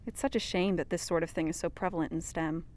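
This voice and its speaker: An actress playing an earnest, inquisitive young podcast interviewer in an audio drama, conversational and a little wry. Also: female voice, fairly low pitch, steady tone, fast, a little mellow, lightly acted. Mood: wry, weary.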